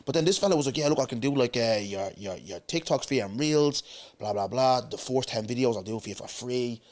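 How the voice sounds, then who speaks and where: A man's voice: mid-range voice, animated, fairly quick. A man, hosting a podcast in a rambling casual chat. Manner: rambling, repeats "do you get me". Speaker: podcast host.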